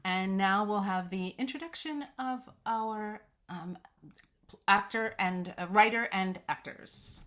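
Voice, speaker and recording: A woman's voice: mid-range voice, slow, fairly bright tone. A woman presenting and narrating a script read.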